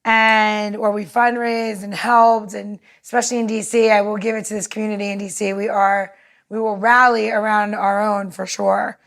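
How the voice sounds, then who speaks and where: A woman's voice: mid-range voice, flat delivery, normal speed, gravelly, a bit bright. A woman co-hosting a podcast in casual conversation, talking in an earnest, rambling way. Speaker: podcast co-host.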